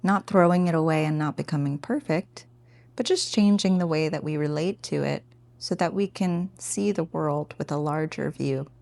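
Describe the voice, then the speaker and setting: A woman teaching a guided meditation in a gentle, informal, encouraging way. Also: deep voice, moderate pace, creaky, no room sound.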